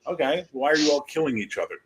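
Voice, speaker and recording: A man's voice: a little high, animated, fast, poor audio. A man hosting a livestream, rambling casually with lots of swearing and jokes. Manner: rambling, profane, jokey. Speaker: stream host. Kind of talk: casual chat.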